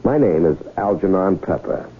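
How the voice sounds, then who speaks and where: An actor playing a brazen, smooth-talking con man in a theatrical, teasing, talkative manner for a 1970s radio drama. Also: deep voice, expressive, normal speed. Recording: muffled old radio broadcast recording.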